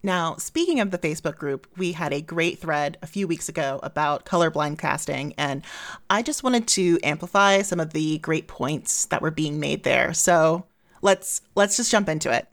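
Lady podcast host, kinda low voice, easygoing questions. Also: lively intonation, normal speed. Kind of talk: casual podcast hosting.

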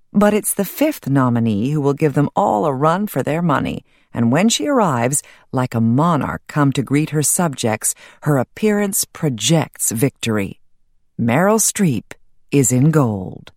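A woman reading a nonfiction audiobook as narrator, in a measured, articulate and wry way. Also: low voice, a little mellow, no echo, studio quality.

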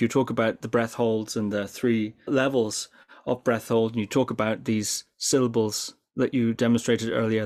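Male interviewer, mid-range voice, measured and appreciative, conversational delivery. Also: medium pitch, darkish tone.

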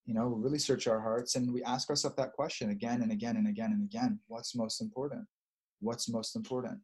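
A man leading a prayer and then talking gently and reflectively, repeating phrases for emphasis. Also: mid-range voice, steady tone, a little fast, slightly rough. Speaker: prayer leader. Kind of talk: spiritual chat and prayer.

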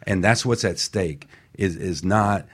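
A man's voice: low voice, unhurried, husky. A man co-hosting a motivational talk show in a casual chat, speaking emphatically like a coach.

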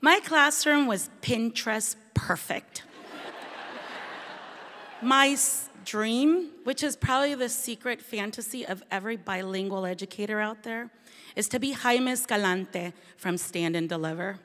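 Woman telling her story, kinda high voice, echoey hall sound. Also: a little slow, pushed and bright. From Venezuela. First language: Spanish. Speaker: bilingual teacher. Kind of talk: scripted personal storytelling. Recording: long hall reverb.

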